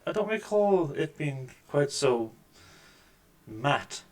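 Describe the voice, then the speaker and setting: A man with a deep voice hosting a casual let's-play, making wry, joking remarks over the gameplay at a desk microphone. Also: big pitch swings, slow, darkish tone. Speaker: gaming channel host. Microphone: desk microphone with mixer.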